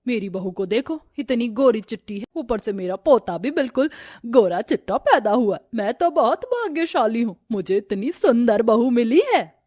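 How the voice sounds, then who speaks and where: A woman's voice: a little high, dark tone, no room sound, clean studio sound. Woman voicing a spiteful, boastful older village neighbour. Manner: gossipy, mocking, self-satisfied.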